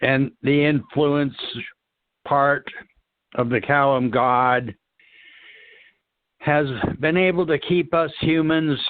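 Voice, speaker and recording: A man offering an unscripted spiritual reflection, haltingly searching for words with long pauses. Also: a little high, clear, resonant tone, dry recording, narrow, tinny audio. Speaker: discussion participant.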